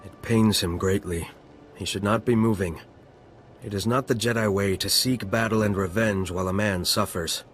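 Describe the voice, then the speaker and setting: An actor playing a principled, disapproving apprentice monk with grave, formal speech in a video game. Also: male voice, fairly low pitch, fairly even intonation, moderate pace, a little hiss or hum, close to an everyday voice.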